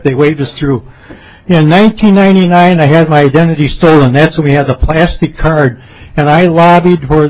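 A man in his seventies giving rambling, halting public testimony at a committee hearing. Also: on the high side, darkish tone, poor audio. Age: 70s. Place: legislative committee hearing room.

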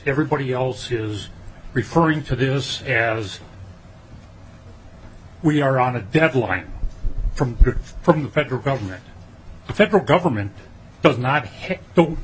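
Man, mid-pitched, indignant taxpayer testimony, faint room hiss. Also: lively intonation, measured pace, low-quality recording. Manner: indignant, emphatic. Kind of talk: public testimony. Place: legislative committee hearing room.

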